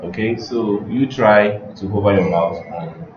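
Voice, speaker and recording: Slow, very deep-voiced male instructor. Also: dull audio, background noise. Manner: instructional, directing students, checking on them. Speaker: web development instructor. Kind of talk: step-by-step live coding lesson.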